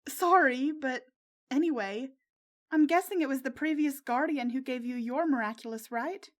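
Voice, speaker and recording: A woman voicing a loyal, excitable teenage girl who gushes like a superhero fan in a narrated story. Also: high voice, normal speed, lightly acted. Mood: curious. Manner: gushing, squealing when excited.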